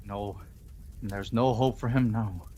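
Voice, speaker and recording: A man's voice: on the low side, steady tone, a little slow, warm tone. A man playing a resolute crime-fighting avenger in old-time radio drama style, with a dramatic, authoritative delivery. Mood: relieved, grave. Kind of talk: 1940s radio drama acting.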